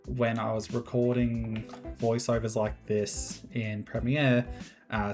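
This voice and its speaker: A man hosting his own Minecraft building videos, chatting casually in a rambling, monotone way and laughing often. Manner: monotone, fairly even pitch. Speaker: Minecraft builder and channel host. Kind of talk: casual gameplay commentary and voiceover.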